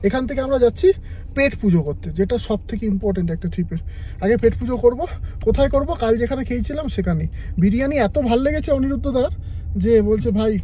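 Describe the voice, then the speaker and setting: A man's voice: very high voice, animated, fast. A man chatting casually to viewers in a travel vlog, giving tips as he goes. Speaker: travel vlogger. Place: car and outdoor sightseeing spots. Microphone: phone held by the speaker. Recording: phone recording with loud road and outdoor noise.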